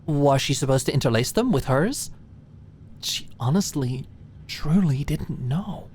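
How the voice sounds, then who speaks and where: A woman's voice: low voice, expressive, unhurried, a little mellow, slight background noise. A woman reading scripted story narration for an ASMR-style audiobook.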